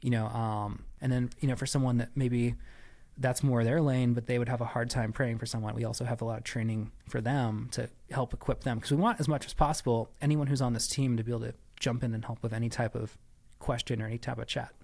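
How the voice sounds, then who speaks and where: A man's voice: mid-range voice, flat delivery, quick pace, vocal fry, darkish tone. A man giving conversational interview answers as a podcast guest, explaining things with frequent asides and qualifications. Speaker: church staff member, podcast guest.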